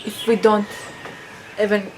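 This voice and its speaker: A woman from Israel rehearsing a personal speech, halting, with restarts and non-native grammar slips. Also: medium pitch, flat delivery, a little hiss or hum. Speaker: public speaking student. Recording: roomy, distant mic, background ambience.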